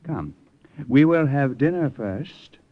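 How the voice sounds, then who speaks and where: An actor in an old radio drama playing a cautious, hospitable Siamese colonel who speaks formally and deliberately. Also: male voice, medium pitch, animated. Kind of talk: 1940s-50s radio drama acting. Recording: dull, lo-fi old radio recording.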